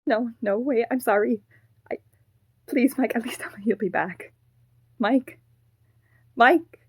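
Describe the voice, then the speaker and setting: A woman voicing a sad, clinging haunted puppet with a young boy's ghost in it, in a halting, earnest fan audio drama performance. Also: fairly low pitch, fairly even intonation, mellow, no room sound, studio quality. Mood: pleading, upset.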